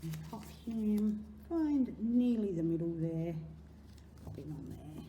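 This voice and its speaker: Lively Australian woman, echoey children's craft demonstration. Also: medium pitch, slow pace. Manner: chatty, singsong, encouraging children's-presenter delivery. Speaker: library storytime presenter. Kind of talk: children's craft demonstration, talking through steps. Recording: echoey room, faint background noise.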